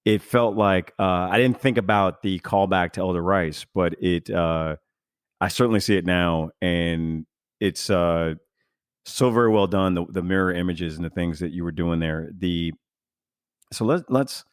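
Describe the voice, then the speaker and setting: A man's voice: low voice, unhurried, clear, resonant tone, no room sound, studio quality. A man hosting a podcast in casual chat, rambling with many fillers and restarts as he reads and reacts. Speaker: podcast host.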